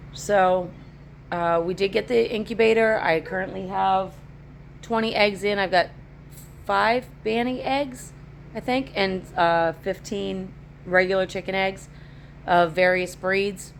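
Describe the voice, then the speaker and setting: A woman chatting casually to camera about her homestead, her voice raspy from allergies, in a rambling, down-to-earth way. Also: on the low side, slight background noise. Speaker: homesteading vlogger. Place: at home on a rural homestead. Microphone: phone camera, later on a tripod.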